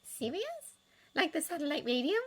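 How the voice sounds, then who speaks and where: A woman reading aloud and voicing a shy, nervous young woman who speaks softly and hesitantly. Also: sits high, sing-song, moderate pace, pitched above the performer's own voice. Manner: soft, hesitant, uncertain.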